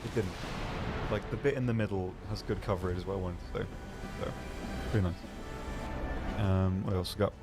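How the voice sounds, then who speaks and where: A man's voice: deep voice, fairly even intonation, normal speed, pauses often, over music. A man co-hosting a game livestream, chatting casually in a hesitant, rambling and self-deprecating way. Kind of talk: casual chat.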